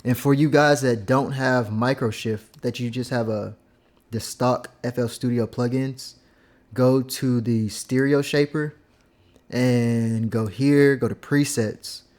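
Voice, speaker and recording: A young man casually explaining how he mixes his vocals, rambling and filling pauses with "like" and "um". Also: mid-range voice, steady tone, darkish tone. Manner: laid-back, rambling, heavy use of "like" and "um". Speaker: music producer teaching vocal mixing. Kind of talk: casual screen-recorded tutorial.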